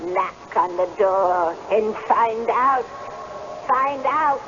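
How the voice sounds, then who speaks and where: A woman's voice: a little high, drawn-out words, a bit bright. An actress in a 1970s radio drama playing a mocking, tempting old witch who talks with theatrical, insinuating relish and cackles. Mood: gleeful, menacing. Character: mocking old hag witch, shape-shifting spirit, taunting and tempting. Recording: dull, noisy old radio broadcast.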